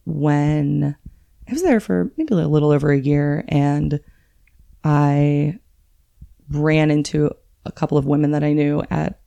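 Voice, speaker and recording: A woman's voice: low voice, a little slow, creaky, long pauses, mellow. A young woman, a comedy producer, telling stories in a laid-back, rambling way. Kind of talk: casual interview chat.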